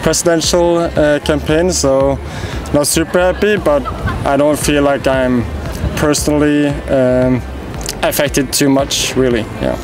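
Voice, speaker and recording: A man's voice: on the high side, fairly even intonation, a little slow, over music, poor audio. A young man, a student, answering hesitantly with many fillers and light laughs. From Norway. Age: young adult. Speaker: international student.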